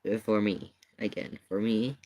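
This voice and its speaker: A man's voice: on the low side, fairly even intonation, moderate pace, a little mellow. A man giving casual live commentary in an emphatic, questioning way, often repeating his points. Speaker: streamer reacting to paranormal investigation video.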